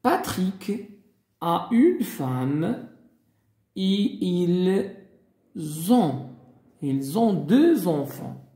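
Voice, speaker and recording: A man teaching a beginner language lesson, speaking slowly and deliberately, spelling out and repeating each example. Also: sits high, big pitch swings, warm tone, echoey room. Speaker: French teacher.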